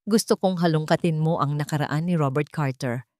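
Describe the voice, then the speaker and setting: A woman voicing a cold, commanding, vengeful businessman who speaks calmly and deliberately with menace, in an audio romance drama. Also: sits low, no echo, studio quality, lightly acted.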